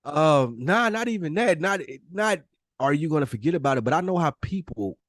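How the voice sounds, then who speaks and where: A man's voice: a little high, lively intonation, fairly quick, fairly bright tone, dry recording, studio quality. A man hosting a podcast, speaking candidly and informally, with slang and swearing, in casual conversation. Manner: informal slangy talk with profanity. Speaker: podcast host.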